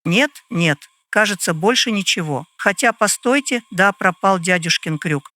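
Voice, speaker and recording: A woman's voice: medium pitch, lively intonation, unhurried, sharp tone, dry recording. A woman playing a composed landlady in an old London detective audio drama, speaking formally and a little hesitantly. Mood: uncertain.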